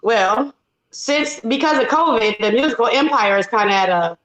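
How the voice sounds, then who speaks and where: A woman's voice: a little high, lively intonation, normal speed, fairly bright tone, low-quality recording. Woman in her forties on a video call. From Detroit. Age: 40s. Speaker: singer and guest. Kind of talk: casual video call interview.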